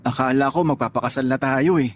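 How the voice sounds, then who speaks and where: A man playing a remorseful, sheepish young boyfriend in a Tagalog radio drama, apologetic and halting in his speech. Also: medium pitch, slight background noise, close to an everyday voice.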